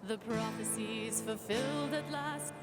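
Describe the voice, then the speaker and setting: A woman's voice: fairly high pitch, measured pace, natural voice. A woman voicing a fantasy bard in a video game, proclaiming in a grand theatrical manner. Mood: triumphant. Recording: loud music under the voice. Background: music.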